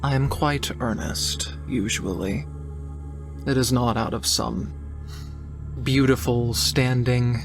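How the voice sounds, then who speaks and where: A man voicing an earnest, guarded researcher woman in a tabletop roleplay, speaking in formal, deliberate, wordy phrases. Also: mid-range voice, steady tone. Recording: music playing behind the voice. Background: music.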